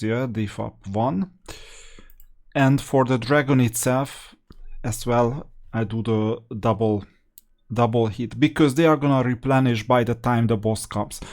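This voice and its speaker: A man's voice: medium pitch, a little mellow. Man thinking aloud through a game walkthrough. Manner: thinks aloud, halting, explanatory. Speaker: gameplay guide creator. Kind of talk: casual walkthrough commentary over gameplay.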